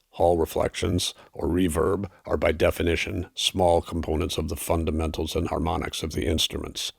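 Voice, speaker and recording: Low-pitched man reviewing in dry sound. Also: gravelly, no echo. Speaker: audio equipment reviewer. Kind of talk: scripted review narration.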